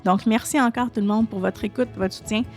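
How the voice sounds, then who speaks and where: A woman's voice: medium pitch, steady tone, fairly quick, darkish tone, faint music behind. A woman with a Quebec French accent, hosting a podcast as a French teacher and talking casually to listeners with many restarts. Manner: conversational, many restarts and "tu sais" fillers. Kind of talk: casual solo podcast talk.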